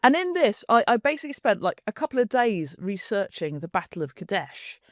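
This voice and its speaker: A woman's voice: medium pitch, very animated, brisk, no room sound, studio quality. A woman co-hosting a podcast in casual chat, chatty and jokey, laughing easily. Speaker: podcast co-host.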